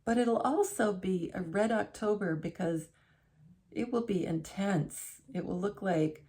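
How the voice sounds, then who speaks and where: A woman's voice: medium pitch, unhurried, dark tone. A middle-aged woman vlogging from her living room armchair, chatting casually to camera in a rambling way, often restarting and correcting herself. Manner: rambling, self-correcting, conversational.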